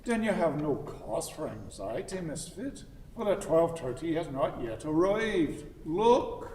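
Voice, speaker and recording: Fussy, swooping, courteous gentleman. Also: on the high side, sing-song, recorded from a distance. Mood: pedantic, insistent. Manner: formal, emphatic, deliberate. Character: fussy, courteous gentleman, earnest and soothing, excitable. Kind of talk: stage radio play acting. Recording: echoey hall, background noise.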